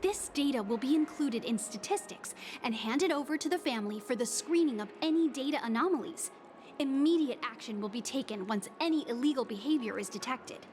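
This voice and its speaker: A woman's voice: sits high, lively intonation, fairly quick, darkish tone, a little hiss or hum. A woman playing a courteous, formal hotel receptionist in a video game. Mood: polite, apologetic.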